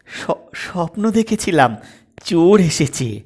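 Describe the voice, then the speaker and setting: A man's voice: sits high, sing-song, takes it slowly, a little mellow, slightly rough, exaggerated voice. A man voicing a composed yet pert young daughter-in-law in a comic audio story. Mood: composed, placating.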